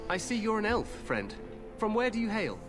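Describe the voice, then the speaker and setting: A man's voice: high voice, big pitch swings, moderate pace, music in the background. Hearty overconfident young king. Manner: hearty, regal.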